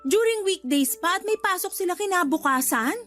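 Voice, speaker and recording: A married woman in a radio drama, keeping house and laying down the law to her brother. Her voice is high, emphatic and preachy, over a soft music bed. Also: expressive, normal speed. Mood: scolding, indignant. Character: exasperated married woman, homeowner scolding her older brother. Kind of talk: Tagalog radio drama acting.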